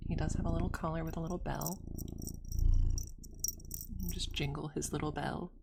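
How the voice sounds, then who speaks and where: A woman chatting casually on an ASMR livestream, soft-spoken and halting, close to a streaming microphone. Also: low voice, flat delivery, gravelly, heavy background noise.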